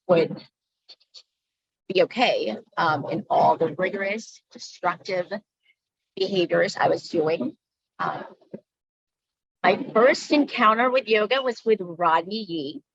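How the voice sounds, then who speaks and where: Woman from the Philippines, 40s, yoga teacher, halting personal talk, low and husky, slightly dull sound. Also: fairly bright tone, no room sound. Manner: halting, with frequent ums and pauses. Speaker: guest speaker, yoga instructor.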